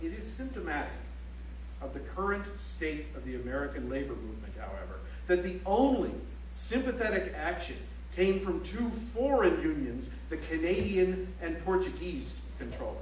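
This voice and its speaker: A man playing a grave, pointed political chronicler, reciting formally on stage in a measured, emphatic way. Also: on the high side, very animated, darkish tone. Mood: critical, emphatic. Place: library auditorium stage. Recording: echoey auditorium, distant mic, faint hum.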